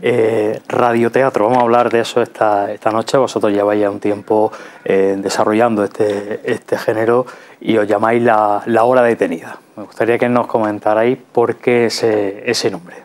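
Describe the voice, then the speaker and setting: A man's voice: lowish voice, flat delivery, normal speed, a little mellow. A man with an accent from Spain, hosting a studio interview on a culture programme. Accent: Spanish (Spain). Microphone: lapel.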